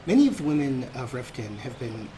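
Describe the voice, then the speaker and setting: Male let's play host, mid-pitched, wry and talkative showman style, noticeable background noise. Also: very animated, a little fast, dark tone. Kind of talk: gameplay commentary. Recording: clearly noisy, game ambience underneath.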